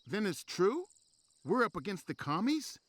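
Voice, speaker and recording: An actor playing an eager, green young lieutenant in a video game cutscene, nervous but willing. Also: male voice, sits high, sing-song, measured pace, a bit bright, no room sound, full character voice. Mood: anxious. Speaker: trainee tank crewman.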